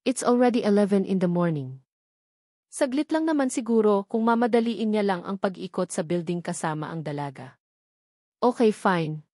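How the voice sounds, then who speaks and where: A woman's voice: mid-range voice, expressive, brisk, dark tone, dry recording, clean studio sound. A woman reading a romance novel as a scripted audiobook narration.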